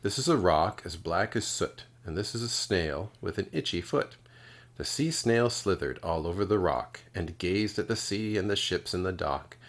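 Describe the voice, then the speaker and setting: A man's voice: low voice, animated, moderate pace. A man reading children's storybooks aloud expressively, like a kindergarten teacher.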